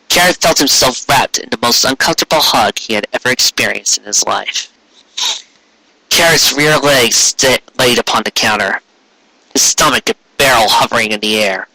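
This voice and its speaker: A man's voice: medium pitch, sharp tone, no echo, rough recording. A man narrating a fan fiction story in a dramatic amateur reading style.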